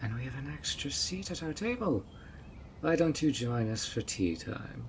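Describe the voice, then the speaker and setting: A man's voice: mid-range voice, animated, normal speed, slight background noise, lightly acted. A man playing a honeyed, sly fairy. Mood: sweetly scheming. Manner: honeyed, coaxing, playfully sly. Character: sweet obsessive male fairy, coaxing and sly. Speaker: fairy host of a tea party. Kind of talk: audio roleplay.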